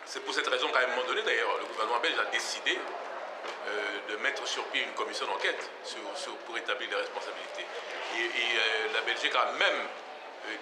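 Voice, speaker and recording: A man answering an interview formally and emphatically, with measured rhetorical repetition. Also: lowish voice. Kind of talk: formal, spontaneous political interview. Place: outdoor public square. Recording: echoey, very noisy outdoor recording.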